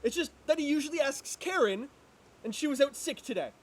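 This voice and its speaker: A man's voice: very high-pitched, animated, fairly quick. A man acting an anxious, exasperated twin brother who gets indignant and sarcastic in an amateur drama reading. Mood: defensive, confused.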